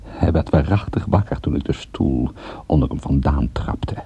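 A man's voice: a bass voice, normal speed, creaky, highs cut off, very noisy. An actor playing a tough, sardonic private detective in a radio play, commanding and dry in his delivery. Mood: dry narrating. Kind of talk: radio drama acting with first-person narration.

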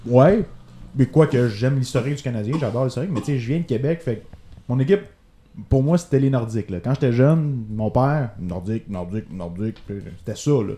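A man's voice: mid-range voice, fairly quick, dark tone, echoey room, slight background noise. A man from Quebec City with a Québécois accent, chatting easily on a podcast. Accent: Québécois French. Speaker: podcast co-host. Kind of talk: casual chat.

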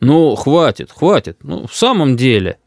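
A man's voice: medium pitch, big pitch swings, a little slow, vocal fry, darkish tone, no echo. A man voicing an impassive old grandfather in a storybook, a noncommittal peacemaker who placates everyone mildly. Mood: placating.

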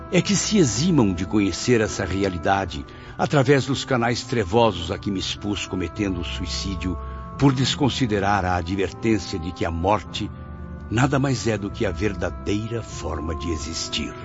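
An actor with a Brazilian accent playing a tormented dead man who narrates his afterlife in a radio drama, gravely and dramatically. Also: on the low side, expressive, normal speed, natural voice. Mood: solemn. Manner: grave, dramatic, literary delivery. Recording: muffled lo-fi recording, music behind.

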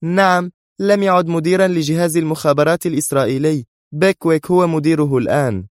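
A fierce female spy villain, acted out by a man in an audiobook thriller. The voice is pushed high and hard, stern and emphatic. It sounds domineering and quick to snap, in a close, dry recording. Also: dry recording, lightly acted.

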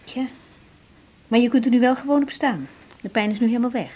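Actress as a high, mellow-voiced young woman doctor. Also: brisk, lightly acted. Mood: calm, reassuring. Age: young adult. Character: young female doctor, caring, brisk, in love. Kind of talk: naturalistic 1980s radio drama acting. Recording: noisy 1980s radio drama recording.

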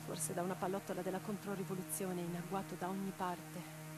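A woman's voice: lowish voice, completely flat delivery, brisk, lightly acted, rough recording. An actress as a lyrical, impassioned revolutionary woman over guitar. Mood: grave. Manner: lyrical, earnest, declamatory. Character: Rosa Luxemburg, impassioned, tender revolutionary woman writer. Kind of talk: theatrical radio drama monologue and dialogue. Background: plucked guitar music.

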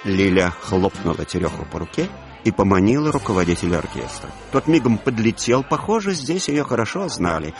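A man narrating a literary audio play with expressive storytelling. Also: lowish voice, a little fast, soft background music.

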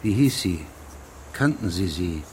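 An actor playing a stern, insistent judge who questions formally and with authority in a radio play. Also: male voice, sits low, animated, measured pace, a little mellow, a little hiss or hum. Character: stern, insistent male judge investigating a case. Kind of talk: German radio drama dialogue.

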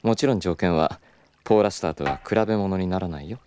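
A man playing a suave, stubborn, flirtatious club boss in an audio drama, with smooth polite speech that slips into teasing Kyoto dialect. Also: sits low, fast, a little mellow, a little hiss or hum, lightly acted. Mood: smooth, persuasive. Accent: Kyoto Japanese.

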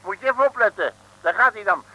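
A man's voice: sits high, lively intonation, quick pace, pushed and bright, heavily acted. A man playing a blustering, bumbling detective who hems and grumbles in a comic Dutch radio serial. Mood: blustering bravado. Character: blustering, bumbling male detective boasting of wrestling grips. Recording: noisy old radio recording.

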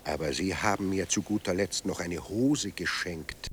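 An actor playing a sardonic, bitter dead writer, newly sober, speaking with ironic, theatrical eloquence in a radio drama. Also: male voice, sits low, normal speed, slight background noise, lightly acted. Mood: scornful.

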